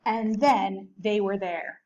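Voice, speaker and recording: A woman teacher reading a picture book aloud to young children in a deliberate, expressive storytelling manner. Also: mid-range voice, dull audio. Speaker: teacher reading to a third grade class.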